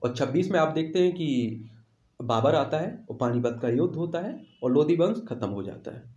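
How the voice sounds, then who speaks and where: A man's voice: a little high, expressive, moderate pace, mellow, lo-fi sound. A man teaching exam questions in an instructional way, repeating questions and answers for emphasis, with an echoey room sound. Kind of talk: instructional quiz walkthrough.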